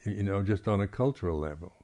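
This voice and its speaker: A man, a Buddhist monk teacher, giving an unscripted, reflective talk at a meditation retreat, halting and often clearing his throat. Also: low voice, warm tone.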